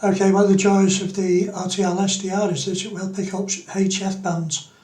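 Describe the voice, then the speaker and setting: High-pitched man presenting a tutorial, roomy sound. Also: flat delivery, fairly quick, darkish tone. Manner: explanatory, step-by-step. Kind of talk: unscripted screen-demo tutorial.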